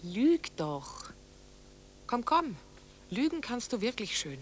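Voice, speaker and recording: Haunting wife for radio play; hushed, teasing, unhurried female voice with a faint hum behind. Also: a little high, big pitch swings. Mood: taunting. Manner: hushed, coaxing, sometimes whispering. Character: haunting, taunting wife, intimate and unsettled. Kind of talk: radio drama acting.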